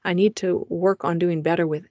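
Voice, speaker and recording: A woman's voice: fairly low pitch, steady tone, moderate pace, a little mellow, dry recording, muffled recording. A middle-aged woman, a romance author, presenting her publishing plans over slides in a chatty way, with frequent fillers and restarts, recorded in a home recording booth.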